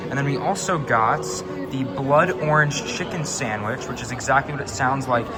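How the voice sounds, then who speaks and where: A young man vlogging through a theme park, upbeat and enthusiastic, his voice slightly raspy and hoarse. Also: mid-range voice, normal speed, over loud music, rough recording. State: hoarse from shouting on a coaster. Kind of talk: casual vlog narration. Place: indoor and outdoor areas of a theme park.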